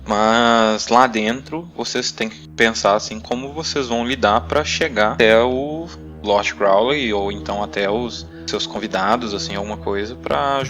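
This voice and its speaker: A Brazilian man narrating a tabletop role-playing game as game master, improvising in a conversational way and thinking aloud. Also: fairly low pitch. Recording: background music under the voice. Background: clear music.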